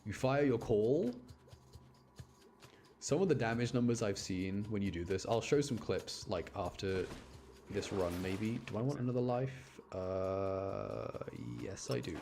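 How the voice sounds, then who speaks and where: Low-voiced man streaming a game. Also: a little slow, a little mellow, a little hiss or hum. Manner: rambling, repeats words and restarts often. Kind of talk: casual live gaming commentary.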